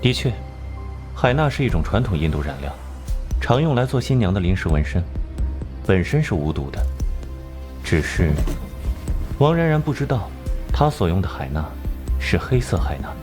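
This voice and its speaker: A man acting an aloof, sharp-tongued genius consultant in a Chinese animated crime drama, cool and articulate. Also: fairly low pitch, normal speed, long pauses, over music. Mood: cool, explanatory.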